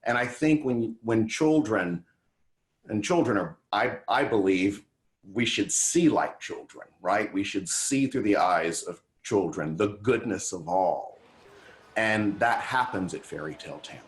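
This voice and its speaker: A man's voice: fairly low pitch, animated, a little slow. A man hosting a conversational TV interview, speaking in an earnest, effusive and affirming way. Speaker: TV show host, interviewer.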